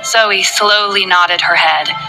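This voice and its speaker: A woman narrating a romance novel in a smooth, even reading voice, over faint music. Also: lowish voice, takes it slowly, low-quality recording. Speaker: audiobook narrator.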